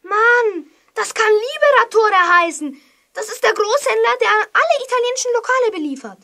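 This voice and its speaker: A woman playing an eager, excitable child detective who talks urgently in a children's audio drama. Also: extremely high pitch, normal speed, full character voice. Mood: eager urgency.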